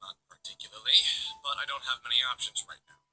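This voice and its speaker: An actor playing a stern, wry male police detective in a visual novel game, with a husky voice and firm, matter-of-fact authority. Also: medium pitch, fast talker, sharp tone, soft background music, poor audio. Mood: stern, measured.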